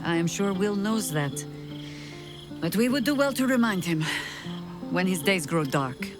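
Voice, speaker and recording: An actress playing a compassionate, solemn adventurer in a fantasy video game, speaking in a measured, sincere way. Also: female voice, on the low side, animated. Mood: solemn, consoling. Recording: music behind the voice.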